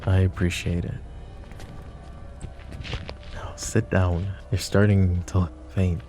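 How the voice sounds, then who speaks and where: A man voicing a possessive, commanding mafia boss in a boyfriend ASMR roleplay, speaking softly and intimately to the listener. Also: sits low, flat delivery, takes it slowly, soft background music. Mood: protective. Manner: soft, intimate, addresses listener directly.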